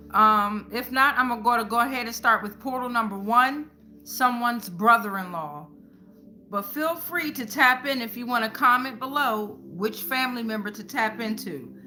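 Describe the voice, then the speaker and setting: A woman's voice: on the high side, sharp tone. A woman hosting a live tarot reading, talking informally to viewers with repeated phrases and frequent check-ins. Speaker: tarot reader and live stream host.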